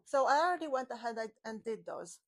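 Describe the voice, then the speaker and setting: A slightly high-voiced woman in her forties walks through a woodworking build in a casual, chatty way. She talks while she handles boards and glue, her clip-on mic catching her in a home workshop with the garage door open. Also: very animated, a little fast. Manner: chatty, explains while working with her hands. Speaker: woodworking channel host. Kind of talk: step-by-step workshop tutorial. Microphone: small clip-on mic on her shirt.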